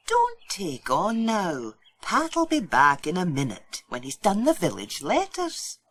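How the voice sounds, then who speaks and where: Woman voicing a slow, soothing village postmistress. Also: lowish voice, huge pitch swings, a bit bright, full character voice. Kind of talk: children's storybook audiobook character voice.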